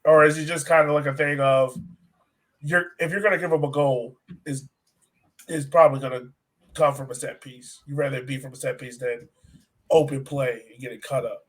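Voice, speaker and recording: A man's voice: medium pitch, fairly even intonation, moderate pace. An American man hosting a soccer podcast, talking casually and steering the discussion in an inquisitive, conversational way. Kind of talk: casual conversational sports talk.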